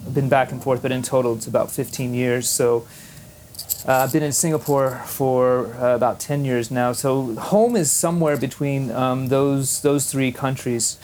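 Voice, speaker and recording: A man's voice: mid-range voice, steady tone, normal speed, a little mellow, a little hiss or hum. A man from Texas, a podcast guest, answering with dry humor and many hesitant fillers. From Texas, USA. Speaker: podcast guest, teacher abroad. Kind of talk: casual interview answers.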